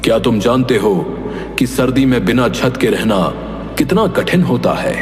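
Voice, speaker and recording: A man playing a just, fatherly king in an animated moral story, speaking gravely and with measured authority. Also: mid-range voice, lightly acted. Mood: stern, reproachful. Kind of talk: dramatic animated story dialogue. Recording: echoey palace-like reverb, faint music behind.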